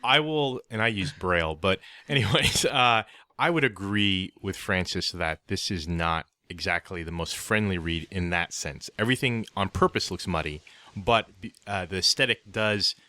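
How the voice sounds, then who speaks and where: A man co-hosting a casual review podcast, with a wry, measured way of talking and somewhat formal wording. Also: deep voice, pushed and bright, no echo. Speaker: podcast co-host.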